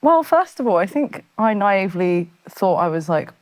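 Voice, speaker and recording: A woman's voice: medium pitch, very animated, normal speed, darkish tone, rough recording. A woman from Britain, a fashion photographer, talking casually as a podcast guest and chatting in a self-deprecating way with many fillers.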